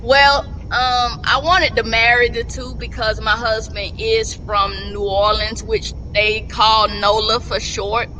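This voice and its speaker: Woman, middle-aged, interview guest, slow, bright tone, plainspoken, webcam call. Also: a little high, little pitch movement, a little hiss or hum, rough recording. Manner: slow, plainspoken, spells out names. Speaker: business owner guest. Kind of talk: casual interview. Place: indoors at home, seated. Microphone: video call webcam.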